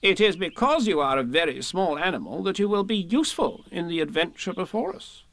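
A man's voice: high voice, very animated, a little fast, a bit bright, no echo, pitched above the performer's own voice, exaggerated voice. A man voicing a self-important, scheming rabbit from a storybook in a pompous, declaiming manner. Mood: solemn.

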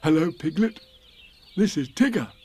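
A mild little storybook bear, voiced by a man, pitched a bit high. He sounds polite, simple and earnest, slightly puzzled, with a lilting delivery. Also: expressive, normal speed, a little mellow, breathy edge. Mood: earnest, patient. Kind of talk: children's storybook character voice.

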